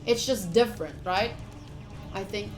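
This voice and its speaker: A woman's voice: medium pitch, a little slow, soft background music. A woman streamer reading a visual novel aloud and chatting to viewers, moving between dramatic narration and casual asides. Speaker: game streamer. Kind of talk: live visual novel playthrough, reading aloud and commenting to chat.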